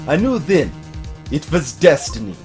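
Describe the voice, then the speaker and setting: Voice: mid-range voice, very animated, darkish tone, faint music behind. A performer voicing a vengeful, unhinged old male prosecutor in a video game, seething and theatrical. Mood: grim, gloating. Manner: theatrical, seething, dramatic drawn-out delivery.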